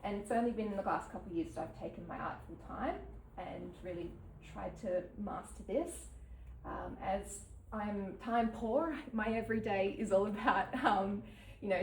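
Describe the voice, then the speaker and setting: An Australian woman in her thirties talks about her work at a gallery event, pausing often and filling the gaps with ums. Her voice carries a roomy echo and sounds a little far from the mic. A faint hum of background noise sits under her speech. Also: mid-range voice. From New South Wales, Australia. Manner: halting, with many ums and soft laughs. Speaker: artist giving a talk. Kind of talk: unscripted artist talk. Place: gallery event space with an audience.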